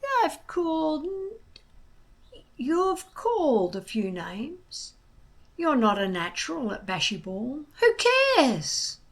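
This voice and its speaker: Give the storybook's old Viking grandfather , as a woman reads him, a high, creaky voice with big swoops. He is wise and gruff but patient, and leans slowly on his words. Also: big pitch swings, full character voice. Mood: encouraging. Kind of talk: children's storybook character voice.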